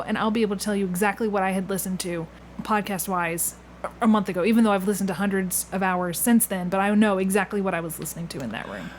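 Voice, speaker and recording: Casual woman podcast host, noisy background. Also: mid-range voice. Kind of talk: casual conversational interviewing, thinking aloud. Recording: clear background noise with room ambience.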